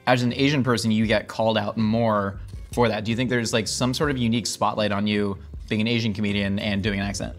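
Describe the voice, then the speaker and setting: A man's voice: fairly low pitch, steady tone, quick pace, soft background music. A man in his thirties with an American accent, interviewing his guest in a casual, chatty style. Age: 30s. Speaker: host, interviewer. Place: indoor dining set at a wooden table.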